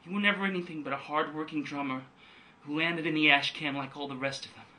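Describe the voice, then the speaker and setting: A man's voice: a little high, fairly even intonation, quick pace, pushed and bright. A man performing a theatrical monologue as an anguished, defiant grown son confronting his father, in an impassioned delivery. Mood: bitter, pleading. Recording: distant microphone.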